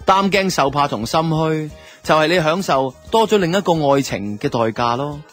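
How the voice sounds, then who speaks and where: A man's voice: on the high side, lively intonation, moderate pace, a bit bright, faint music behind. A man with a Hong Kong Cantonese accent playing a guilty, self-justifying two-timing boyfriend who narrates a radio drama in colloquial first person. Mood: wry, musing. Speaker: lead actor and narrator.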